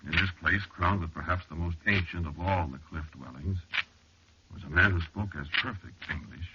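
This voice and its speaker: Male actor as anxious, irritable explorer narrating old radio horror; very low voice, halting delivery, noisy muffled recording. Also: lightly acted. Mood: brooding narration. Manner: halting, deliberate storytelling with dramatic pauses. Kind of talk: first-person 1940s radio horror narration and acting.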